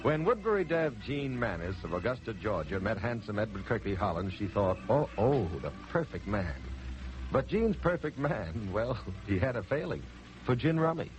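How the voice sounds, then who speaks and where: Lively American male 1940s radio announcer. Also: on the low side, normal speed, over music. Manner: polished storytelling salesmanship. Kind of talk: 1940s radio advertising narration. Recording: faintly noisy 1940s radio recording.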